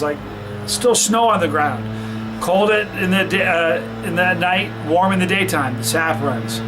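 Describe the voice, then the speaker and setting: A man's voice: fairly high pitch, low-quality recording. A man from Vermont reviewing a hot sauce in a casual vlog, chatty and rambling, with many restarts and fillers. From Vermont, USA.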